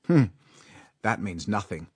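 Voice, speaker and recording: A man's voice: lowish voice, sing-song, slow pace, a little mellow, slightly rough, no echo, full character voice. A man playing a self-important writing master and awkward suitor in a story reading. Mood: dismissive.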